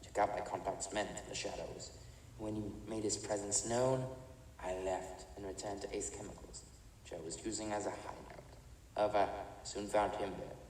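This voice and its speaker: An actor in an audio drama playing a remorseless older criminal chemist, speaking in a measured, haughty, matter-of-fact way. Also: male voice, fairly low pitch, a little mellow, lightly acted. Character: cold, remorseless older male villain, an imprisoned mad chemist. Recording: echoey reverberant room, distant, faint noise.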